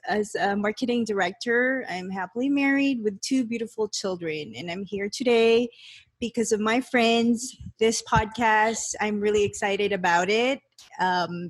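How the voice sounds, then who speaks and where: A chill, happy-go-lucky woman in her thirties from the Philippines introduces herself on a casual podcast. Her voice is pitched a little high, and she keeps her talk light and relaxed. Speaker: marketing director.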